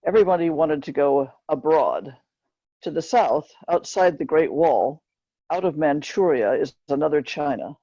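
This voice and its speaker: Woman reading from a book, low-key husky creaky voice, kinda dull echoey room recording. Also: dark tone. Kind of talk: scripted narration, book reading.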